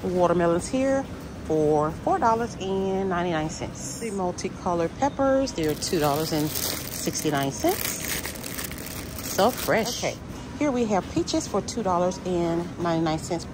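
Slow, price-reading woman in a supermarket. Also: on the low side, lively intonation, noisy background. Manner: reads out prices item by item, slow and deliberate. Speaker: vlogger. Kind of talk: grocery store walk-through. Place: inside a large supermarket.

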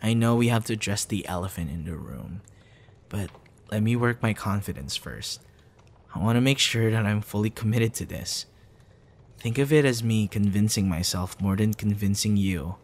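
A young man playing a tender, hesitant suitor in an intimate ASMR boyfriend roleplay, speaking softly and haltingly. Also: fairly low pitch. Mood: nervous, affectionate. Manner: soft, hesitant, with sighs and chuckles. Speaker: audio roleplay voice actor.

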